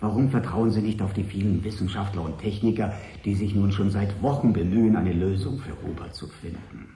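An actor playing a smooth, polite but ruthless consortium agent in a radio drama, articulate, wry and persuasive. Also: male voice, lowish voice, a little fast, mellow, echoey room, slight background noise, close to an everyday voice. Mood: insistent, persuasive. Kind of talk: 1980s German radio drama acting.

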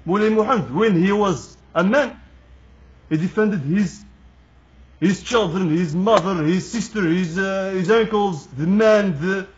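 A man's voice: high-pitched, unhurried, dull audio. A Moroccan man with Moroccan Arabic as his native language, making an angry, indignant street appeal to camera in English and repeating his phrases. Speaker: protester speaking to camera.

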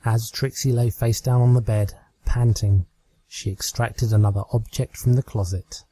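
Slow, mellow male story narrator. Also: on the low side, slightly rough, poor audio. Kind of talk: scripted fan fiction narration.

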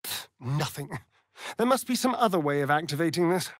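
An actor playing a vain, sardonic vampire rogue in a fantasy video game, with an arch, drawling, theatrical delivery. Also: male voice, fairly high pitch, animated, fairly quick, dry recording, clean studio sound, close to an everyday voice. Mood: tense.

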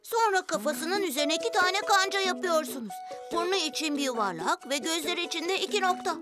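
A woman's voice: very high voice, expressive, brisk. A woman voicing a friendly, helpful young rabbit boy who explains encouragingly in a children's cartoon dub. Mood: instructive. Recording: music behind the voice.

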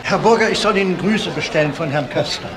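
A man's voice: fairly high pitch, fast, crowd noise, close to an everyday voice. Actor as a polite, fussy old male pensioner. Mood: polite, proud. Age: 60s or older. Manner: courteous, hesitant, formal. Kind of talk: German crime radio play acting.

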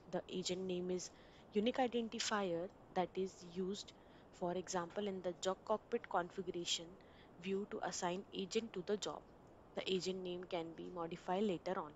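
Low-voiced woman narrating a software tutorial. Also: dull audio, a little hiss or hum. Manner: steady instructional reading with small grammar slips. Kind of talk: read screen-recording walkthrough. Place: voice-over for a screen recording.

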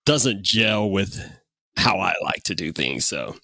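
A man's voice: sits low, big pitch swings, slow, vocal fry, sharp tone, no room sound, clean studio sound. A man hosting a podcast, chatting casually with a guest in a jokey, easygoing way with frequent chuckles. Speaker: podcast host. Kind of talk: casual interview chat.

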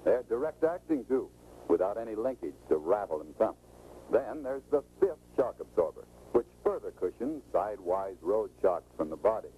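A man's voice: fairly low pitch, very animated, normal speed, dark tone, a little hiss or hum, poor audio. A middle-aged American man giving scripted, instructive, salesmanlike narration in the style of a 1940s training film, on an old film soundtrack. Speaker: automotive expert presenting a sales training film.

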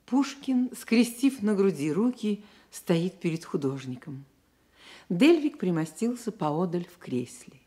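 A woman narrating a radio drama in a measured, literary storytelling manner. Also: on the low side, sing-song, dark tone, breathy edge.

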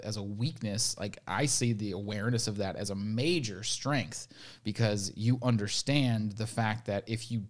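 A man in his thirties explains things reflectively, often restarting his sentences. He co-hosts a casual podcast discussion, speaking into a studio mic on a boom arm. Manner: gestures while talking. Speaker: veterinary podcast co-host. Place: home room, indoors. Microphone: studio mic on boom arm, headphones.

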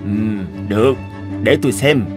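A man playing a kindly older company chairman in a cartoon, measured and encouraging. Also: on the low side, natural voice. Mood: considering. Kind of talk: Vietnamese cartoon dub acting. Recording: music under the dialogue.

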